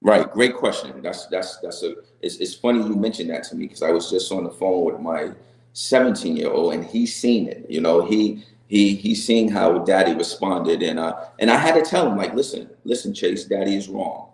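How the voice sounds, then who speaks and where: A middle-aged man with a deep voice, answering interview questions earnestly, often restarting and asking "right?". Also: lively intonation, moderate pace, a little mellow, reverberant, poor audio. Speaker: reality show guest.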